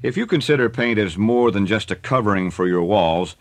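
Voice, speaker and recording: A man's voice: fairly low pitch, normal speed, no room sound. A man announcing a scripted radio commercial. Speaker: advertisement announcer.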